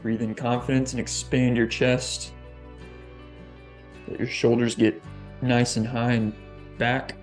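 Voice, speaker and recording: A man, a fitness coach, leading a guest's guided meditation on a podcast, slow and deliberate. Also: mid-range voice, little pitch movement, soft background music.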